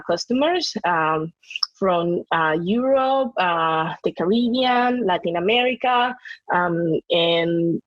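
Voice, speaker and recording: A woman's voice: fairly low pitch, no echo. A woman from the Dominican Republic, a native Spanish speaker, answering conversationally as an interview guest. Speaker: podcast guest, real estate broker. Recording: dry, dull lo-fi audio.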